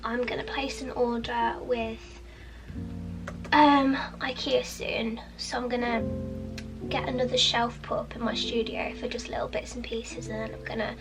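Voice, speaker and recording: A young woman with a cold talks casually in a soft, half-whispered, rambling way from her small home art studio. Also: fairly high pitch, little pitch movement, unhurried, music in the background. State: sniffly with a cold. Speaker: artist vlogger. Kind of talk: casual studio vlog chat.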